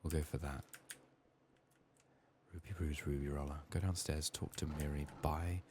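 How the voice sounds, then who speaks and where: A soft-spoken man murmuring through an ASMR game playthrough, often dropping into whispers, his voice a little strained from being sick. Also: rumbling low, steady tone, normal speed. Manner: murmured thinking aloud. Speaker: ASMR gameplay streamer. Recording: faint noise, keyboard typing and clicks. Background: keyboard typing, clicking, faint noise.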